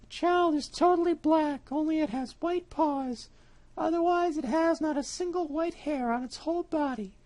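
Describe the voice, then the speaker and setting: A woman's voice: high-pitched, normal speed, mellow, voice pushed up, close to an everyday voice. A woman voicing a sly, greedy male cat who talks his way around a trusting mouse. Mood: wheedling.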